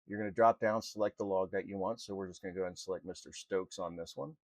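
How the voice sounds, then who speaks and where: A man presenting an unscripted software tutorial in an informal, halting, step-by-step way. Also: low-pitched, steady tone, dry recording. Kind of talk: unscripted screen-recorded walkthrough.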